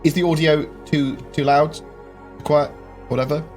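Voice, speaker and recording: A man hosting a live-stream Q&A, rambling through viewer questions with lots of ums and quick jokes. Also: on the high side, steady tone, a little mellow. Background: faint game music.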